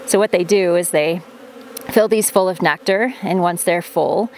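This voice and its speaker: A woman's voice: lowish voice, a little slow, a little mellow, slight background noise. A woman in her thirties chatting casually as she explains her gardening and beekeeping while she works, recorded close on a handheld camera in a greenhouse and a farmyard. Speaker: farm vlogger. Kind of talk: casual how-to vlog narration. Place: plastic greenhouse and outdoor farmyard.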